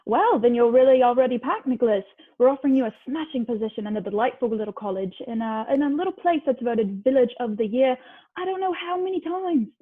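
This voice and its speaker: A woman's voice: fairly high pitch, expressive, quick pace, breathy edge. A woman reading a jolly, chummy older male police inspector in a bouncy, cheerful way. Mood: jovial. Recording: tinny video call audio.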